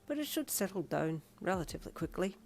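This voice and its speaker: A woman's voice: lowish voice, very animated, fast talker, gravelly, darkish tone. A woman voicing a friendly, chummy Irish man who works as a piercer and tattoo artist in a narrated story. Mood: cheerful, chatty. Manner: chummy, colloquial, cheery.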